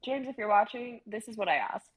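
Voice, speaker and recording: A woman's voice: fairly high pitch, lively intonation, a little fast, husky, rough recording. A young woman chatting playfully on a live stream, giggling and joking often. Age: young adult. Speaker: stream co-host and reader. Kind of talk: casual group chat.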